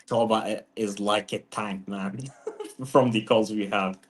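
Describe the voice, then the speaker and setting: A man's voice: lowish voice, steady tone, a little slow. A man from Lebanon talking casually on a video call as a team member, with loose phrasing full of "like" and "uh". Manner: loose, filler-heavy, lots of "like". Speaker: company CTO on a community call. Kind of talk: casual chat.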